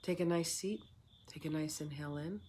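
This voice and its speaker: A meditation guide speaking in a soothing, unhurried way with long pauses, leading a guided meditation. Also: mid-range voice, fairly even intonation, darkish tone, a little hiss or hum.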